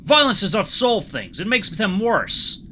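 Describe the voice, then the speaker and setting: A man's voice: sits high, big pitch swings, unhurried, bright, forceful tone, slight background noise, pitched above the performer's own voice, heavily acted. A man voicing a giant, proud, guarded ship's electrician with a Russian accent and stern, clipped speech that drops articles. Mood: sharp, offended. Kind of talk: audiobook-like character reading.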